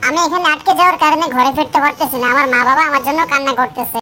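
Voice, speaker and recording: A woman's voice: high voice, rapid-fire, sharp tone. A woman voicing an anxious but friendly young mermaid princess in a children's cartoon, earnest and plaintive. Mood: distressed. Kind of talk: children's cartoon story acting.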